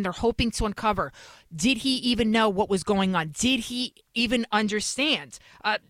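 A woman's voice: fairly high pitch, a bit bright, dry recording. A woman from New York City with a Bronx accent, hosting a talk show and giving emphatic commentary, often repeating phrases for effect. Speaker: host.

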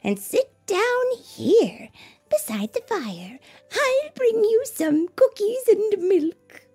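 Woman playing kindly, high-voiced Mrs. Santa Claus. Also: big pitch swings, slow pace, warm tone, soft background music, exaggerated voice. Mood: welcoming. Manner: welcoming, motherly.

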